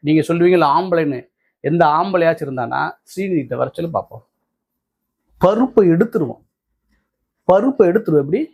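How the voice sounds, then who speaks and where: High-pitched, combative Tamil Nadu male commentator. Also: a little mellow. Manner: combative, indignant, repeats phrases for emphasis. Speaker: political commentator and host. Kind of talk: opinionated political commentary to viewers.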